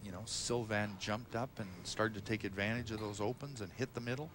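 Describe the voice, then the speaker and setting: A man's voice: lowish voice, fairly even intonation, fairly quick. A man giving explanatory, technical colour commentary during a live bowling match. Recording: live crowd noise behind. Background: audience and hall noise.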